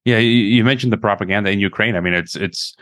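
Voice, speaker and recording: A man from Croatia, hosting an interview in a measured, hesitant way. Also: lowish voice, fairly bright tone, no echo, clean studio sound. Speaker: interview host. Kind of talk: conversational interview.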